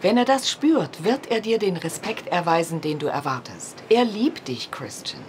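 A woman's voice: lowish voice, sing-song, moderate pace, slight background noise. An actress playing a caring, persuasive middle-aged farm wife and mother in a radio drama. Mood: earnestly persuasive.

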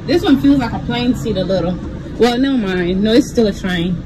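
A woman's voice: fairly high pitch, normal speed, a crowd in the background, noisy background. Chatty woman touring a train. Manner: chatty, observational, laughs often. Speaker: vlogger. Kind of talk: casual narrating tour. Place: inside a vintage train car.

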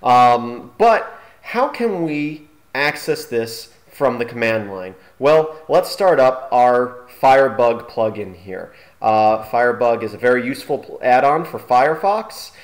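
Male tutorial host, informal and halting, average pitch, thinks aloud while demonstrating. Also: lively intonation.

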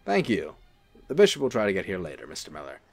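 An actor plays a charming angel in an audio drama. His voice is on the low side with lively pitch movement, and his manner is unruffled and reassuring. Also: fast talker, no echo, soft background music, exaggerated voice. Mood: friendly, encouraging. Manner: smooth, easygoing, reassuring. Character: charming, unruffled angel posing as bishop's assistant.

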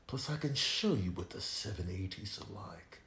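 A man playing a smooth-talking young ladies' man, reciting comic rhymes in hushed, suave patter. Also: low-pitched, lively intonation, normal speed, echoey room, exaggerated voice. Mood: suave, boastful.

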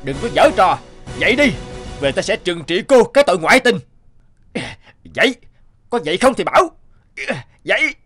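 A man's voice: high voice, very animated, normal speed, long pauses, a bit bright, soft background music, full character voice. A man voicing a brutish, jealous husband in a cartoon folktale, blustering and scolding as he threatens. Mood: threatening. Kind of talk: animated folktale dubbing.

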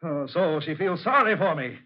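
A man's voice: a little high, expressive, moderate pace. An actor in a 1940s radio drama playing a hot-tempered man spurned by the woman he loves. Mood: vengeful rage. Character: jilted, hot-tempered, successful man in love. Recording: muffled old 1940s radio recording.

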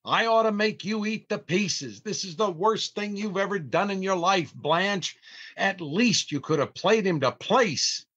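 An exasperated, long-suffering older family man scolds theatrically, played by a male actor. He drags out his words with big pitch swings, in a slightly dull old-time radio comedy recording. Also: animated, measured pace, a bit bright, husky, full character voice. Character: exasperated, long-suffering grumbling husband and father.

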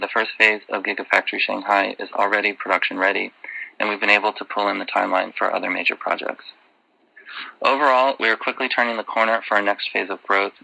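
Man, finance executive, measured delivery, very bright forceful voice, lowish pitch, prepared business remarks. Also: gravelly, no room sound, low-quality recording. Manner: formal, measured. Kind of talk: prepared earnings call remarks.

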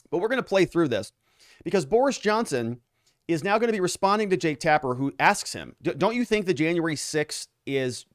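Animated sarcastic man on a close mic. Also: a little high, no room sound. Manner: sarcastic, animated, interrupts clips. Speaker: legal commentary host. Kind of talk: casual commentary reacting to clips. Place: home studio, indoors. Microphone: studio mic on boom, close.

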